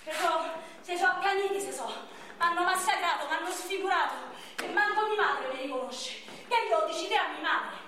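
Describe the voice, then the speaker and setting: A woman performing a theatrical monologue as a battered, frail young victim, with a Roman accent and halting, repetitive, coarse speech. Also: extremely high pitch, pushed and bright. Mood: anguished, outraged. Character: battered frail 31-year-old Roman victim, raging and wounded. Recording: echoey stage, distant mic, background noise.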